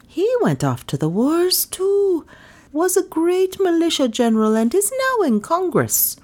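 A woman voicing an excitable, chatty old villager in a storybook, full of exclamations. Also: very high-pitched, a little slow, pitched above the performer's own voice, heavily acted. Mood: gossipy. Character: excitable old villager, gossiping about lost neighbours.

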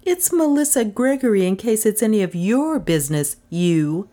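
A woman voicing a brash, indignant young woman in a mystery story, loud, blunt and defiant. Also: medium pitch, animated, normal speed, voice pushed up, natural voice.

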